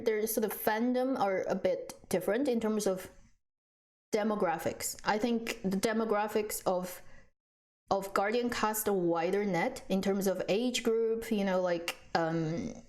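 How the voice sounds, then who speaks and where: A woman's voice: medium pitch, a little slow, a little mellow. A woman whose first language is Chinese, chatting casually on a live stream and answering viewers. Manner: chatty, frequent restarts, laughs often.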